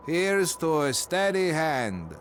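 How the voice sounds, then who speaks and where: A gruff post-apocalyptic game stalker, speaks slowly with lively rises and falls. He sounds distant, with some background noise around him. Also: on the high side. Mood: slow, offhand. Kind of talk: video game voice acting.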